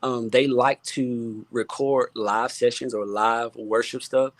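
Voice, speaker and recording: A man in a casual online conversation, giving informal, encouraging advice with halting, repeated phrases. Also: mid-range voice, steady tone, no echo. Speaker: guest music producer. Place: remote online video call.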